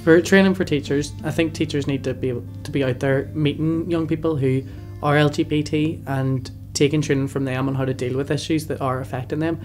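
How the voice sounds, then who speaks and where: A man's voice: fairly high pitch, steady tone, brisk, few pauses. A man in his twenties with a Belfast accent, telling his story earnestly in an interview. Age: 20s. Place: indoor youth centre room. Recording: loud music bed behind the voice.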